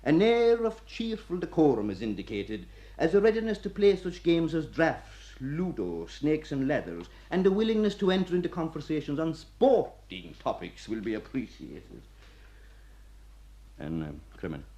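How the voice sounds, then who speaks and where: An actor playing an older Irish prison warder, gruff yet fatherly, lecturing wryly in a radio play. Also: on the high side, wildly swooping pitch, moderate pace, warm tone. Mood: instructing.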